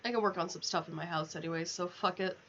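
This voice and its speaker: Gamer lady on stream, bright voice, swears a lot, chats at the game characters, bit of noise behind her. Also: lowish voice, steady tone, quick pace, highs cut off. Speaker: livestreamer playing a video game. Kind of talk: casual gaming livestream chatter.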